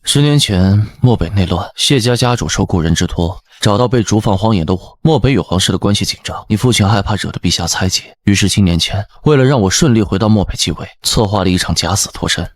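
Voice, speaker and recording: A man playing a proud, fierce young king and devoted younger brother in an animated drama, brooding and formal. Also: fairly low pitch, quick pace, hardly any pauses, no echo, close to an everyday voice. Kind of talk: dubbed animated short drama, scripted.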